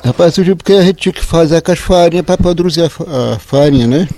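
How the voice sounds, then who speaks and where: A man's voice: on the high side, fast, warm tone, no echo. A man with a rural Northeastern Brazilian accent from Ceará, explaining things informally in plain colloquial speech as an interviewee. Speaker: interviewee, flour-house worker.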